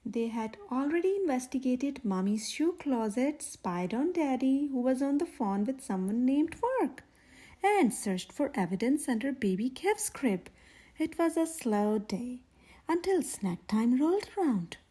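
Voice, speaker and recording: Female children's storyteller, high-pitched and husky, expressive read-aloud. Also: unhurried. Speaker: children's story reader, channel host.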